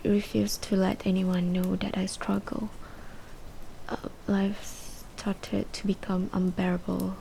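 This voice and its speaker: A woman playing a withdrawn, depressed patient in her twenties in an amateur radio drama, speaking haltingly. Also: mid-range voice, creaky, warm tone, slight background noise, rough recording. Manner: hesitant, halting, breaking into whispers. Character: withdrawn, depressed twenty-three-year-old patient confessing painfully. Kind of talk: amateur radio drama acting, confessional monologue.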